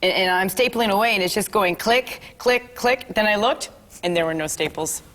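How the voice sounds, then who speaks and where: A woman's voice: mid-range voice, normal speed, a bit bright, lightly acted. Woman acting an earnest, guileless cop. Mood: earnest, puzzled. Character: earnest, guileless woman police officer in a sitcom. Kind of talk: sitcom script read-through.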